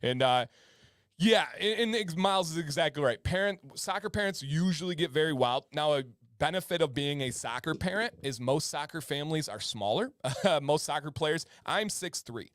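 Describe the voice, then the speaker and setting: A man's voice: fairly high pitch, animated, normal speed, fairly bright tone, no echo, clean studio sound. A man in his thirties hosting a live-stream chat show, chatty and prone to repeating words and restarting sentences. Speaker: host.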